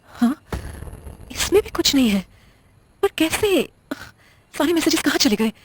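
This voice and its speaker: A woman playing a confused, uneasy young widow who talks haltingly in an audio horror drama. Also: high-pitched, sing-song, a little hiss or hum. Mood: baffled. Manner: hesitant, halting, muttering questions to herself.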